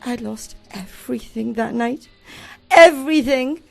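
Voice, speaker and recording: A woman acting a distressed, weary married shipwreck survivor in a radio play, recalling it haltingly and dramatically. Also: a little high, gravelly, a little mellow. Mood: distraught, exhausted. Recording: community radio play with faint music.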